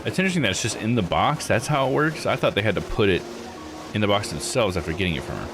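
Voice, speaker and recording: Male game streamer, lowish voice, musing and tentative, talking over game music. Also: lively intonation, fast. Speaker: streamer playing a visual novel. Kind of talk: casual game commentary, thinking aloud.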